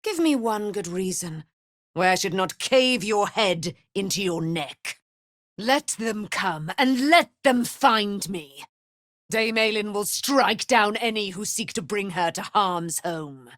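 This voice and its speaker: A proud fierce immortal knight lady, slow grand speeches, lowish voice, dry video game sound. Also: lively intonation, a bit bright, dry recording. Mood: defiant, menacing, then grave resolve. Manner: formal, declamatory, archaic phrasing. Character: proud immortal warrior woman, righteous, grandiose, fierce and vengeful. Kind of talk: dramatic video game voice acting.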